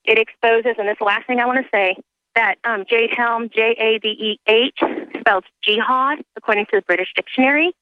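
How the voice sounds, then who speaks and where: A fairly high female voice comes through a tinny telephone line as a caller to a radio show. She rushes earnestly from point to point and fills the gaps with "um". The sound is dry and close. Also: no room sound. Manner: hurried, earnest, jumps between points with "um". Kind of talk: call-in phone talk.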